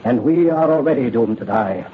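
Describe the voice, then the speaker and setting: An actor playing a proud middle-aged aristocrat father in an old radio drama, with grave, declamatory archaic speech and a husky voice. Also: medium pitch, animated, moderate pace, slight background noise, close to an everyday voice. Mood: solemn urging. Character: proud, doomed aristocrat father, solemn and resolute. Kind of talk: old-time radio horror drama acting. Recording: muffled old radio recording.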